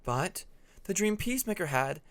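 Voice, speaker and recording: A man voicing a calm, blunt female dragon who explains things slowly and deliberately in a fantasy audiobook. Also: sits high, no echo, pitched above the performer's own voice, full character voice. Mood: calm, grave. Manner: deliberate, explanatory, drawn-out delivery.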